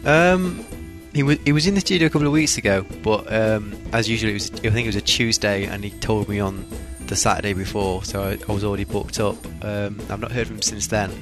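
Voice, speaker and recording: A man co-hosting a radio show, relaxed and hesitant, with many ums. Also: on the low side, a little fast, music in the background. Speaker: radio co-host. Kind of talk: casual radio chat.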